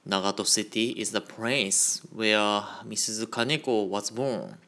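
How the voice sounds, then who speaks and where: Slow, low-voiced man. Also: bright, forceful tone. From Japan. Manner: slow, measured, careful delivery. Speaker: Buddhist minister. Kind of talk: radio Dharma talk.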